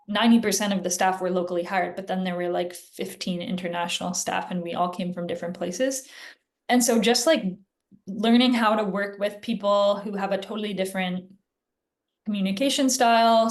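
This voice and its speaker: A woman physician answering interview questions in a casual, chatty way, often pausing with "like" and "um", heard over a video call. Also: medium pitch. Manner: chatty, filler-heavy, frequent "like" and "um". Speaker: guest physician.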